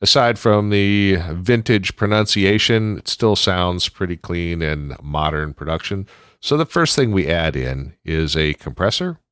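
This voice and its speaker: A man with a very deep voice hosts a casual audio tutorial. He explains each step in an informal, conversational way. The sound is very dry, with no room around it. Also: lively intonation, measured pace, a bit bright, smooth, no room sound.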